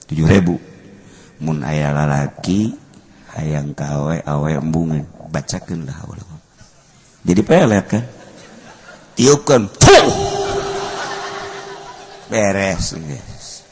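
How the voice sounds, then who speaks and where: An older man with a Sundanese accent giving a humorous sermon, telling animated stories, breaking into laughter and shouts. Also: extremely low pitch, slow pace, long pauses, a little hiss or hum. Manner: animated storytelling, shouting and laughing at his own jokes. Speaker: Islamic preacher.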